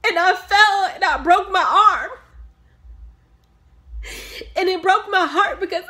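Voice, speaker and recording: Girl acting grieving mom in a drama monologue, defensive, highish creaky voice, pointed phrases. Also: steady tone, measured pace, a bit bright. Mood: distraught. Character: grieving, defensive mother questioned about her sanity.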